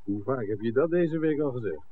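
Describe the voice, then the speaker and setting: An actor playing a level-headed screenwriter with a dry, teasing, sceptical way of talking in a 1960s Dutch radio play. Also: male voice, medium pitch, expressive, fairly quick, slight background noise, lightly acted. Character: wry, level-headed male screenwriter, companion to the director. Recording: thin, muffled 1960s radio recording.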